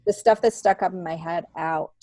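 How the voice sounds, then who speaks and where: Middle-aged woman, podcast guest, candid casual chat, animated storyteller, close dry recording. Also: on the low side, moderate pace, no echo. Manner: animated storytelling, acts out remembered dialogue. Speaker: comedian and TV worker, podcast guest.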